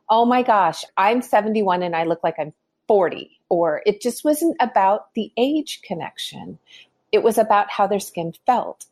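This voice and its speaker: A woman's voice: medium pitch, a little mellow. A woman in her fifties, a skincare company founder, talking earnestly in a podcast interview and explaining her views with frequent restarts. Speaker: skincare brand founder, guest.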